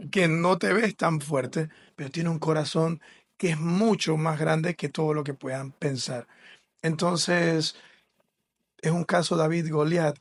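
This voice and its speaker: A man from Ecuador, a school administrator, explaining his views earnestly in a podcast interview. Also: a little high. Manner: earnest, explanatory, with fillers and restarts.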